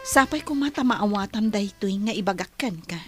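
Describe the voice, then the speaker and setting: A woman playing a gentle, earnest young woman in an Ilocano radio drama, pleading softly with an Ilocano accent and breathy voice. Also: medium pitch, expressive, moderate pace, faint music behind. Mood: apologetic, pleading.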